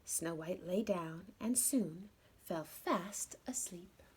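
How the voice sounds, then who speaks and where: A woman's voice: fairly low pitch, slow, a little mellow, breathy edge. Woman reading a children's storybook. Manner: addresses young listeners with questions. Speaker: storybook reader. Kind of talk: expressive children's read-aloud.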